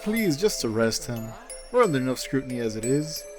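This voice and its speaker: A weary junior officer played by a man in an audio drama. His medium-pitched voice is animated and pleading, with faint music beneath it. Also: a little fast, lightly acted. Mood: exasperated. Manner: exasperated, pleading. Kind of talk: radio drama acting.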